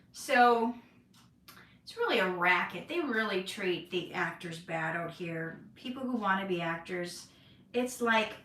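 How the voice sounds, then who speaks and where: A woman's voice: medium pitch, fairly bright tone, distant mic, a little hiss or hum. An actress chatting candidly in a casual Q&A, laughing easily as she answers questions. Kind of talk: casual livestream Q&A chat. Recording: roomy echo, voice from off-mic.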